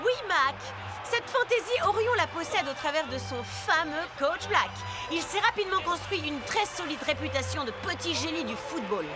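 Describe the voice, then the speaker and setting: A lively cartoon match commentator with a very high voice. She gives live football commentary over loud music. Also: normal speed, hardly any pauses. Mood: keen.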